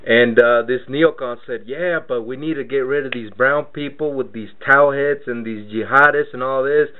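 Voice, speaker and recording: A man's voice: mid-range voice, normal speed. A man born in Mexico hosting a radio interview, talking in a loose, rambling way and repeating words as he searches for his point. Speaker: radio show host. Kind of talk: casual radio interview talk. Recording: tinny phone-line radio audio.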